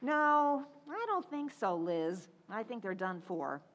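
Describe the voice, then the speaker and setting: A woman's voice: fairly high pitch, big pitch swings, slow pace, a bit bright, lo-fi sound, exaggerated voice. A woman playing a scatterbrained, eager housewife friend in old-time radio sitcom style. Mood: eager, dismayed. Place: community theatre stage radio recreation.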